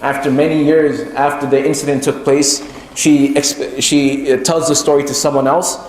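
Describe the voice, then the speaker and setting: A man giving a religious sermon as an imam, speaking in a halting way and repeating phrases for emphasis. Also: on the high side, flat delivery, a little mellow. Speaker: imam giving a talk to his congregation. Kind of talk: sermon, teaching from religious history. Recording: very echoey room, faint background noise.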